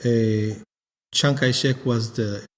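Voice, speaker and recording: Man in his fifties from Israel, halting chatty delivery, long reverb. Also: mid-range voice, fairly even intonation. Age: 50s. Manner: halting, repeats words while searching for them. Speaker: winemaker guest. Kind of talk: casual interview.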